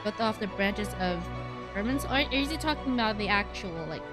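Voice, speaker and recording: A woman's voice: medium pitch, expressive, brisk. Casual woman streamer over game music. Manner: thinking aloud, musing about story lore. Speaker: streamer playing a game live. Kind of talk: casual live game commentary.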